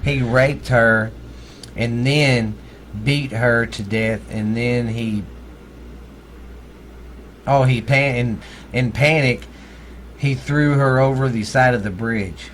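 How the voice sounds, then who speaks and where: A man's voice: mid-range voice, steady tone, slow pace, pauses often, a bit bright, a little hiss or hum. A middle-aged man from Texas with a Texan accent, chatting casually as a podcast co-host in a blunt, folksy, profane way. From Texas, United States. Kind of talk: casual ghost-story chat.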